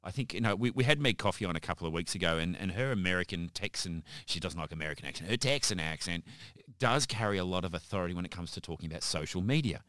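Male interview host, forceful bright tone on a lowish pitch, encouraging and wordy. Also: animated, fast, hardly any pauses, no room sound, clean studio sound. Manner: wordy, encouraging, repeats phrases. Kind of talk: conversational interviewing.

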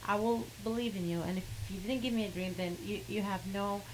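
A woman with an Arabic accent who speaks haltingly, with many fillers, and laughs between sentences as she tells a personal story. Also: mid-range voice, fairly even intonation, background noise. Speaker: guest sharing a testimony.

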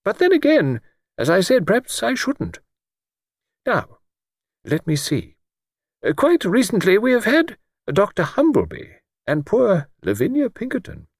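Man acting elderly vicar, high-pitched, mild, courteous, absent-minded, hesitant, swooping intonation, audiobook character. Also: wildly swooping pitch, unhurried, no room sound, clean studio sound, exaggerated voice. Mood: mild, regretful. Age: older. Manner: gentle, hesitant, repeating himself.

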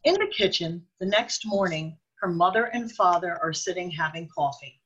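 A woman's voice: lowish voice, big pitch swings, normal speed, a bit bright, slightly rough, muffled recording. A woman reading scripted narration for a radio drama as its storyteller.

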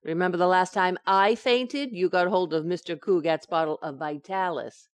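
A woman's voice: lowish voice, moderate pace, natural voice. Woman acting a playful, coaxing sitcom wife. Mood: wary. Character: playful, teasing young wife, coaxing and unflappable. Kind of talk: old-time radio sitcom acting.

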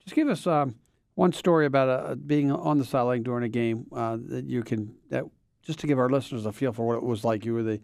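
A man's voice: medium pitch, fast, vocal fry, a little mellow, dry recording, studio quality. A man hosting a casual podcast, teasing his co-host and steering the talk.

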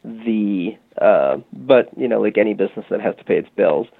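Guest interviewee: lowish gravelly male voice, casual explainer, dry phone-line sound. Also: normal speed, no room sound. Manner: relaxed, frequent fillers like "you know" and "um". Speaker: guest, music marketing tech company founder. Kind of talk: conversational interview, explaining a product.

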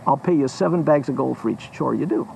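A man's voice: mid-range voice, fast, vocal fry, a little mellow, slight background noise, poor audio. A man voicing a bossy old female dragon mother who hands out orders and schemes. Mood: commanding. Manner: commanding, scheming.

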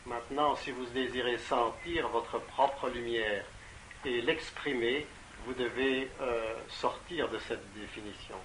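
A man's voice: medium pitch, unhurried, fairly bright tone, low-quality recording. Hesitant male interpreter, faint background noise. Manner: hesitant, frequent fillers, repeats words. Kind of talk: consecutive interpretation into French.

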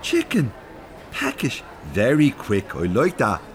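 Cheeky, lively older Irish rogue. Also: male voice, medium pitch, slow pace, a little hiss or hum, exaggerated voice. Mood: friendly. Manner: blunt, teasing, colloquial. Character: cheeky, blunt older working-class rogue and shoplifter. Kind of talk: audio drama acting.

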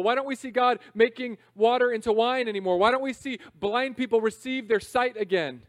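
A man in his thirties preaching a sermon in a conversational, self-deprecating way, swinging between loud emphasis and quiet asides. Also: sits high, no echo, clean studio sound. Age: 30s. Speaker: pastor.

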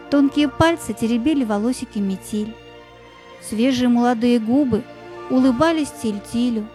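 A woman narrating a scripted fairy tale in a gentle, lyrical storytelling manner. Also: fairly high pitch.

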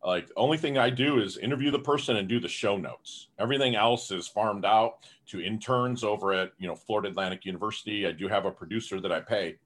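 An energetic man with a somewhat low voice, talking as a guest on a podcast. He speaks in a casual, fired-up way, leaning on "you know" as he goes. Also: fast, hardly any pauses. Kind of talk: casual interview talk.

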